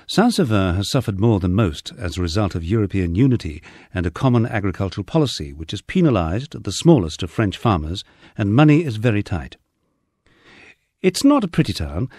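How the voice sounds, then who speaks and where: A man narrating a first-person memoir from a script, with a husky voice and a wry storytelling manner. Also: on the low side, sing-song, fairly quick, darkish tone, no echo, studio quality. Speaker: audiobook narrator.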